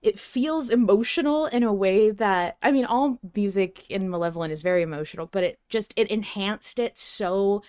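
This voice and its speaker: A woman's voice: medium pitch, moderate pace, few pauses, darkish tone, clean tone. A woman co-hosting a podcast, chatting casually and effusively about fiction, with some swearing. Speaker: podcast co-host. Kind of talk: casual fan discussion chat.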